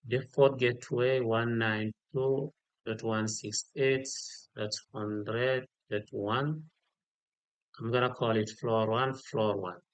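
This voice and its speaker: A man giving an unscripted step-by-step software tutorial, repeating key details and often checking in with a short okay. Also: medium pitch, steady tone, takes it slowly, clean tone, dry recording, lo-fi sound. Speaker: tutorial instructor.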